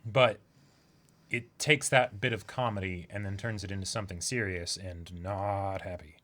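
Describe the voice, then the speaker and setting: A man's voice: sits low, steady tone, moderate pace, a bit bright. A man co-hosting a livestream, talking casually as a fan in a discussion. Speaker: livestream co-host.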